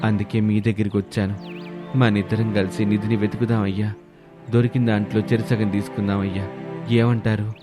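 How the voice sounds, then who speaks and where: A man's voice: lowish voice, little pitch movement, fairly quick, over music, lightly acted. A man voicing a poor, humble but crafty village orphan who speaks deferentially and pleadingly in a moral story. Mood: humble, persuasive.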